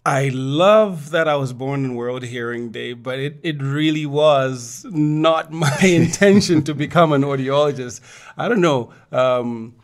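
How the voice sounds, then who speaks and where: A man's voice: medium pitch, unhurried. A middle-aged man from Zambia, an audiologist and guest, telling his story in an interview; he laughs often and repeats words when he starts a thought.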